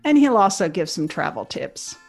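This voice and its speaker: A woman's voice: fairly low pitch, sing-song, normal speed, vocal fry, faint music behind. A woman hosting an interview podcast, moving between scripted introduction and conversational questions.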